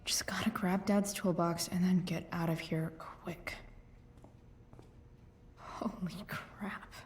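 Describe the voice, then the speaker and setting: A woman's voice: on the low side, fairly even intonation, takes it slowly, in a whisper. A woman with an American accent voicing a headstrong, irritable teenage girl in a video game, terse and slangy. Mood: focused muttering. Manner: muttering to herself, terse, slangy. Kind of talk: naturalistic game cutscene acting.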